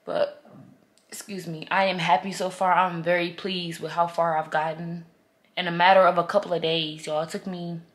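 A young woman in her twenties talks straight into a close camera, candid and heartfelt, with loose slang. Her voice sits a little low for a woman. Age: 20s. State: congested, stuffy. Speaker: vlogger. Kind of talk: casual vlog chat to camera. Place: bedroom, indoors, then in a car.

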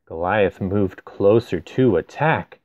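A man's voice: on the low side, slow pace, darkish tone, no echo, highs cut off. A man reading a rhyming children's picture book aloud, adding simple casual explanations for young listeners. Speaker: storyteller.

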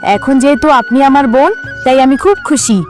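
A woman plays a gentle young queen in a children's cartoon. Her voice is very high, earnest and politely trusting, with music playing behind it. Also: normal speed, natural voice. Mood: happy, polite. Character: trusting, kind, humble young queen with magic. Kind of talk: cartoon story dialogue.

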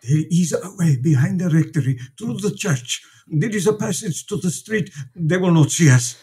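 Casting: urgent, protective priest, very breathy rough voice, fairly high, clipped deliberate radio-play lines. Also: male voice, expressive, warm tone. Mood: urgent, hushed. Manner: short, deliberate, insistent sentences. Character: urgent, protective immigrant priest, resolute and devoted. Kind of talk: radio drama acting.

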